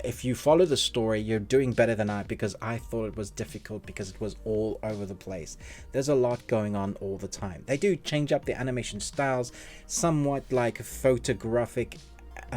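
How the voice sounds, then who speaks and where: A man's voice: on the low side, darkish tone. A man hosting a review, speaking conversationally and giving his opinions in a casual monologue. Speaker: online reviewer and show host.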